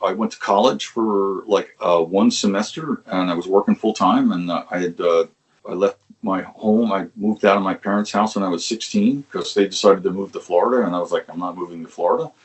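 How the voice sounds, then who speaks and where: An older man with a fairly low voice tells stories from his past in a loose, rambling way. He restarts sentences often, swears now and then, and chuckles as he goes. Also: brisk, poor audio. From Long Island, New York. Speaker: musician guest. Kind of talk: conversational interview storytelling.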